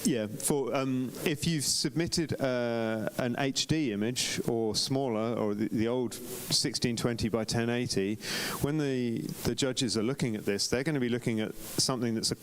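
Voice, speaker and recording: A man's voice: medium pitch, moderate pace, hardly any pauses, a little hiss or hum. A man reviewing competition photographs on a live stream in a chatty way, often restarting sentences with ums. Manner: chatty, self-correcting, frequent ums and restarts. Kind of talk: live-streamed club critique.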